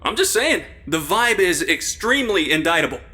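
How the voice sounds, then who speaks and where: An actor playing an irreverent, jittery amateur sleuth in a comedy audio drama, casual and sarcastic. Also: male voice, fairly high pitch, big pitch swings, normal speed, sharp tone.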